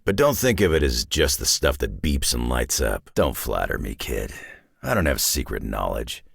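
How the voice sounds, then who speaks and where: Grizzled video game tough guy, extremely low voice, raspy, blunt, dryly wry, swinging inflection. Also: very animated, moderate pace, no room sound, full character voice. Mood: dry, gruff. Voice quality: gravelly, raspy growl. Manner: blunt, dry, laconic tough-guy delivery with folksy slang. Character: gruff, grizzled, wry long-lived male mutant brawler. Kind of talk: scripted game character voice lines.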